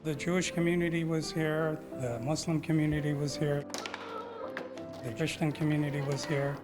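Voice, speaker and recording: A man's voice: on the high side, level tone, normal speed. A man from Pakistan, a community center leader, answering interview questions in an earnest, reflective way. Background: music.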